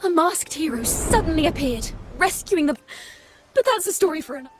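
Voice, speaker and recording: A woman voicing a playful, wry and poetic wandering bard in a video game, with a slightly breathy voice. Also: high-pitched, animated, brisk. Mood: playful, theatrical. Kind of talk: video game character acting. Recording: music behind the voice.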